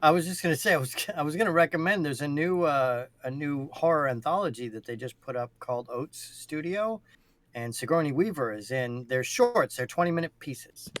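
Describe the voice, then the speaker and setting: A man in casual conversation, recommending things with emphatic, halting delivery. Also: fairly high pitch, expressive, fairly bright tone, no echo. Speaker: podcast cast member. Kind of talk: casual chat, giving a recommendation.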